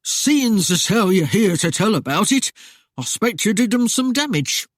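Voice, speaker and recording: Male reader doing a whiny, blustering small-town mayor, pitched up high and pushed loud. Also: big pitch swings, moderate pace, dry recording, studio quality. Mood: wheedling. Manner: whining, wheedling, coarse dialect speech. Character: blustering, greedy, cowardly middle-aged male mayor. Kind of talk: audiobook character voice.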